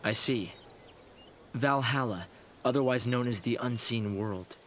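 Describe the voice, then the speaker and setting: An actor playing a thoughtful, idealistic young researcher and leader in a video game, explaining in a measured way. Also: male voice, mid-range voice, a bit bright, slight background noise, lightly acted. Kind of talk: video game cutscene acting.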